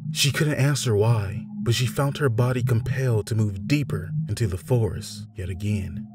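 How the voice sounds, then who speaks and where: A man narrating a scripted fantasy story in a measured, deliberate, theatrical storytelling manner. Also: medium pitch, background noise. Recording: music behind the voice. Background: background music.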